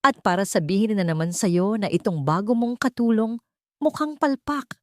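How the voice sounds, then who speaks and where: A woman's voice: mid-range voice, expressive, normal speed, a little mellow, dry recording, studio quality, pitched above the performer's own voice, natural voice. A woman voicing a chirpy, pushy, meddling younger sister in a dramatic story. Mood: chirpy, nagging.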